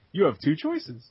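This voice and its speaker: A man's voice: fairly high pitch, slightly rough, dry recording. A man co-hosting a casual gaming podcast, laid-back and jokey, with fillers. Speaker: podcast co-host. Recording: dull lo-fi sound.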